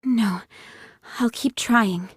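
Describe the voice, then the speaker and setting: A young female android squad leader from an anime game. Her voice sits a little high, with a soft, breathy edge. She is dutiful, polite and reserved, recorded close and dry. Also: extremely slow, no room sound, heavily acted. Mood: subdued, troubled.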